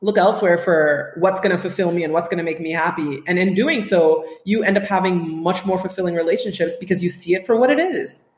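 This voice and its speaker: Frank, animated woman in an echoey room. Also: fairly low pitch, brisk, hardly any pauses. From Trinidad and Tobago, raised in the US. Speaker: guest, writer. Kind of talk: casual podcast conversation. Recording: roomy echo.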